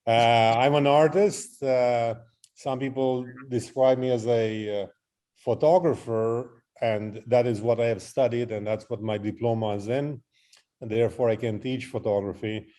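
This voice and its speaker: A middle-aged man, answering interview questions in a halting, reflective way and often listing things out. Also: mid-range voice, steady tone, warm tone. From Romania. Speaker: interview guest, artist and photographer. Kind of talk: interview conversation.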